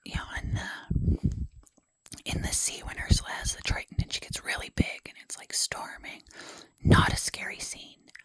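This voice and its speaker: A woman's voice: moderate pace, a bit bright, no echo. A woman whispering a rambling personal story in ASMR style, self-deprecating and full of fillers. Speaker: ASMR creator.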